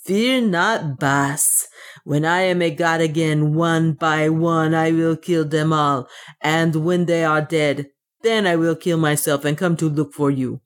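Woman voicing a grim, boastful low-voiced dwarf warrior. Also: fairly even intonation, measured pace. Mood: sorrowful, vengeful. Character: loyal grim male dwarf warrior, boastful, devoted servant. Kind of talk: audiobook character dialogue.

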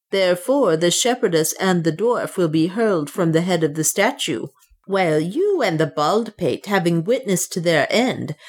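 Woman acting a solemn, declamatory traitress, low-voiced. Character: commanding, fervent woman messenger, traitress bearing ominous news. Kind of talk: audiobook character dialogue.

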